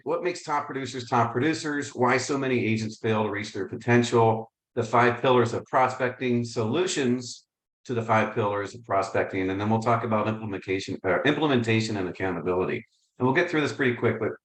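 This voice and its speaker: A man's voice: medium pitch, fairly quick, echoey room, low-quality recording. A man giving an upbeat, conversational coaching presentation on a video call. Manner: upbeat, conversational, self-deprecating. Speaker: real estate coaching presenter. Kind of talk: webinar presentation.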